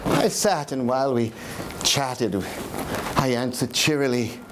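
A man in his sixties playing a guilt-ridden, mad murderer in a theatrical gothic horror reading, halting and repeating words, agitated and declamatory. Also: medium pitch, background noise, pitched below the performer's own voice, close to an everyday voice. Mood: uneasy, confiding. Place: small indoor room in an old house, live audience.

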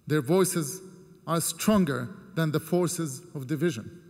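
A fairly high-voiced man in his thirties, from Jordan, delivers a formal speech at a podium microphone. He speaks in measured, earnest phrases with deliberate pauses between them. His voice rings out with a long reverb in a large ornate hall. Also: a little mellow. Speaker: keynote speaker.

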